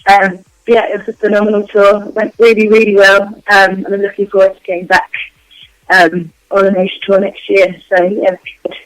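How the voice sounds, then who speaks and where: A woman singer being interviewed, her voice worn out and going hoarse, chatting loosely with lots of ums and restarts. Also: medium pitch, level tone, moderate pace, no echo, poor audio. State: losing her voice. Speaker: guest vocalist. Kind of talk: casual interview answers.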